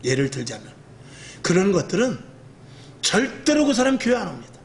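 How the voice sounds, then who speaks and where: A middle-aged male pastor with a rough, earthy voice gives a lively evening sermon, acting out quoted speech with self-mocking humor. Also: high-pitched, moderate pace.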